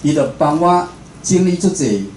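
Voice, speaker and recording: Casting: male radio host, Taiwanese accent, mellow tone, chatty lecture delivery, roomy sound with faint noise. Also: fairly high pitch, lively intonation, moderate pace. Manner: conversational, asks the listeners rhetorical questions. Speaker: radio host and station director giving a talk. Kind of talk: informal spoken lecture. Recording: echoey room with faint background noise.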